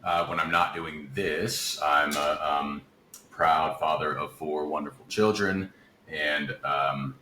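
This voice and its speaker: A man with a deep voice and an Appalachian accent, an easygoing guest voice actor who laughs often in casual podcast chat. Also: steady tone, takes it slowly, bright, forceful tone, slight background noise. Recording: roomy echo.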